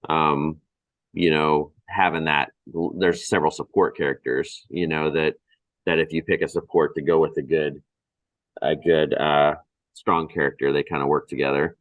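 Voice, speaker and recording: Male co-host, deep voice, dry recording, loose conversational delivery with halting pauses. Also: level tone, no room sound, rough recording. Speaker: board game show host. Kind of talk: casual chat.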